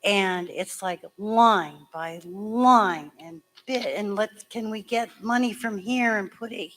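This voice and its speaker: Woman, hoarse, town clerk, mid-pitched, plainspoken, step-by-step explaining, public meeting. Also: takes it slowly, fairly bright tone. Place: school meeting room.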